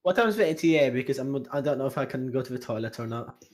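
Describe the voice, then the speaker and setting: A man's voice: medium pitch, lively intonation, fast, a little mellow. A man from Poland, an online game player, joking in voice chat with mock-earnest, broken phrasing.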